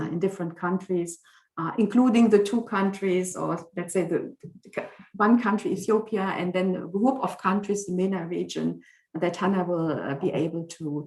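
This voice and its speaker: A woman moderating an online debate over a video call, speaking in a formal, measured and orderly way. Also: fairly low pitch, a little mellow, reverberant, poor audio.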